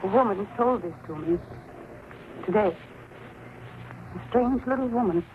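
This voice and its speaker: Intense, haunted young woman played by an actress, mid-pitched, earnest and theatrical, tinny 1940s-style radio horror sound. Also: unhurried, lightly acted. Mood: intense, mysterious. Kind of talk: old-time radio horror acting and narration. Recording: tinny, very noisy old radio recording.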